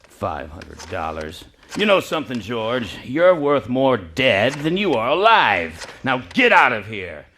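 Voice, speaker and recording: A man's voice: medium pitch, big pitch swings, sharp tone, slight background noise. An actor playing a cold, scornful old banker who taunts a desperate man in a radio play. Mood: contemptuous. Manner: sneering, slow and cutting.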